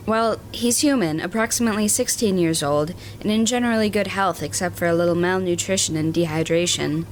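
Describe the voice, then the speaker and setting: A woman playing a matter-of-fact starship doctor in a science fiction audio drama, explaining things in a measured, clinical way. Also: on the low side, a little hiss or hum, lightly acted, low-quality recording.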